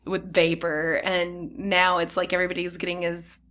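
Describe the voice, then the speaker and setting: A woman guest on a podcast, talking in relaxed casual chat about her radio work, laughing easily. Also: fairly low pitch, moderate pace.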